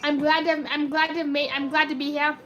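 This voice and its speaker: A woman with a robotic-sounding voice chatting excitedly through a live slot machine stream, reading and answering chat comments. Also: sits high, brisk. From United States. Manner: chatty, excitable cheering at spins.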